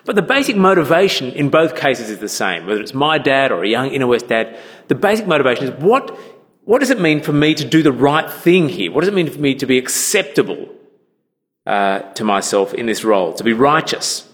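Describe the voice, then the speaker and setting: A man's voice: medium pitch, big pitch swings, brisk, a bit bright, long reverb tail. A man preaching a sermon as a minister, conversational and acting out the people he quotes. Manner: conversational, acts out quoted voices.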